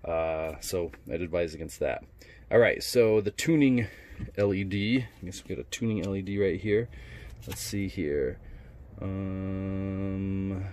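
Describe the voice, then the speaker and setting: A man's voice: low voice, darkish tone. A man doing a casual, laid-back unboxing narration as a product reviewer, speaking in colloquial language. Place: indoors at a wooden workbench.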